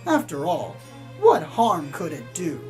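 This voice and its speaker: Casting: woman as blithe small-town pony newsreader for spoof radio drama, lowish voice, measured and deadpan, faint music underneath. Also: full character voice. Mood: earnest, musing. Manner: measured, deadpan about sinister things. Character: calm small-town pony radio host, blithely reporting eerie doom. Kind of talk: scripted spoof community radio news narration.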